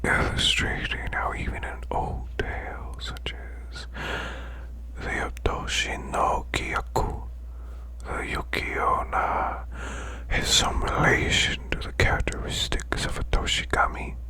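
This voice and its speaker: A man voicing a demon boss in a breathy, growling whisper, reading folklore slowly as soothing bedtime ASMR. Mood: hushed, soothing. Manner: slow, hushed, deliberate recitation. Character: male demon butler's boss, growling softly, soothing storyteller.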